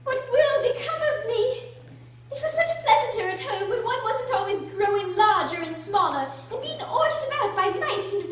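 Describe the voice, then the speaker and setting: A woman playing a curious, polite young girl in a radio drama, earnest and expressive. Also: very high-pitched. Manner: earnest, expressive, talking to herself. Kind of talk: theatrical radio drama acting. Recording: echoey room from far off.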